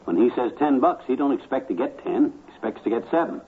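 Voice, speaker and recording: A man's voice: mid-range voice, lively intonation, fast talker, slightly rough. A man playing a boastful, cocky cheapskate husband who loves to haggle, in a slangy 1950s radio comedy style. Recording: tinny, hissy 1950s radio recording.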